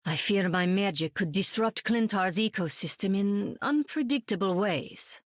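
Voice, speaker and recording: An actress giving voice to a powerful sorceress in a video game, speaking at a middle pitch with dry sarcasm. Her lines are crisp and close, with no room around them. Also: lively intonation, unhurried, dry recording, lightly acted. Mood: wry.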